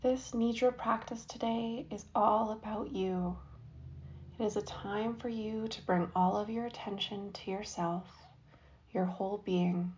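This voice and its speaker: A woman guiding a yoga nidra meditation in a soft, soothing way, with long pauses between phrases. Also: medium pitch, fairly even intonation. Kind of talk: guided meditation. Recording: dull lo-fi recording.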